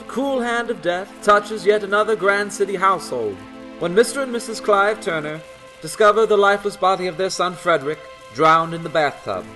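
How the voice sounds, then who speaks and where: A man's voice: sits high, pushed and bright, low-quality recording. A man playing an ominous, theatrical announcer of an old-time radio serial. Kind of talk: 1940s radio narration.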